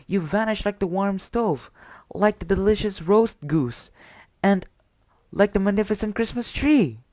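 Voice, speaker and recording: A man's voice: high voice, lively intonation, unhurried, darkish tone, no echo, voice pushed up. A man voicing a poor little girl from a storybook, earnest and imploring. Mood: pleading. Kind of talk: storybook character voice.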